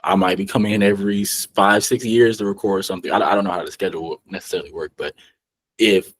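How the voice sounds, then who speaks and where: A man co-hosting an anime podcast who asks long, rambling questions with pauses and restarts. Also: low-pitched, fairly even intonation. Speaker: co-host. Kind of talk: casual podcast interview.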